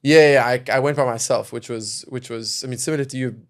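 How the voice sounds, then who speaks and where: Young guy from south africa, middle pitch, chill and thoughtful, lots of kind of and you know. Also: a little fast. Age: young adult. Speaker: venture capital investor, podcast guest. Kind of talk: casual podcast conversation.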